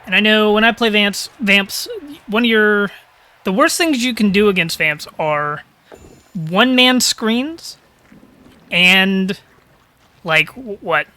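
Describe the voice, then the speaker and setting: A man calls a live game match in a high, ringing voice, talking casually and thinking out loud. His throat is a little crackly. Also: takes it slowly, long pauses, clean tone. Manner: casual, chatty, thinking aloud. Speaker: caster.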